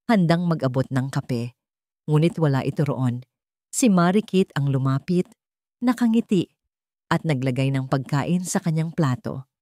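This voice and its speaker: A woman with a Filipino accent narrates a dramatic story in a lowish voice. Her delivery is scripted storytelling for an audio drama. The sound is dry, with very little room in it. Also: dark tone, no room sound.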